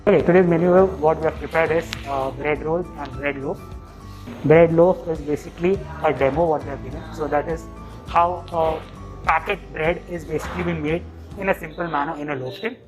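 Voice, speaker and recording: A woman's voice: low-pitched, steady tone, fairly quick, low-quality recording. A woman baking teacher explaining a bread demo loosely, with fillers. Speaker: baking instructor. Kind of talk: casual explanation to camera. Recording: music underneath.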